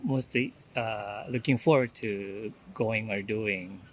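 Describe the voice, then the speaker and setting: A man with Japanese as his first language, interviewing tourists on the street in English, halting and searching for words. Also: lowish voice.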